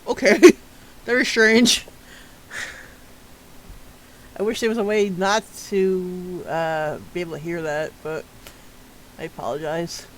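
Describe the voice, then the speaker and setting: A man's voice: high-pitched, steady tone, a little slow, a bit bright, rough recording. A man streaming a detective game, chatting casually and recapping the story for his viewers with small stumbles. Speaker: gaming streamer. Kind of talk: casual let's-play commentary.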